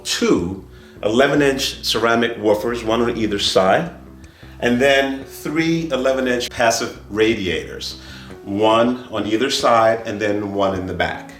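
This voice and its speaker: A man giving an informal product presentation as a dealer, speaking in a deliberate, halting way with repeated phrases. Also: fairly low pitch. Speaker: audio dealer presenting loudspeakers. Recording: roomy, distant mic, faint music behind.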